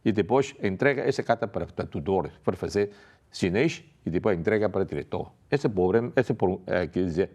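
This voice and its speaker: A man speaking haltingly as a non-native speaker, full of pauses and restarts, as a candidate in a televised political debate. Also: low-pitched, a little mellow, dull audio. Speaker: election candidate. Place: television studio.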